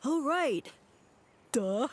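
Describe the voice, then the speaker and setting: Naive, high-voiced fungus sidekick. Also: female voice, animated, noisy background, exaggerated voice. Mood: dopey, playful. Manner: halting, gushing. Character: admiring, naive, dim little fungus sidekick creature. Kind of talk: video game voice acting.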